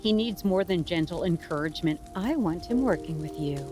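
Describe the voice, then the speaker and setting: Middle-aged female, actress for audio drama: ambitious, demanding wife and mother, animated intonation, slightly low register. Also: normal speed, soft background music, lightly acted. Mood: frustrated, insistent.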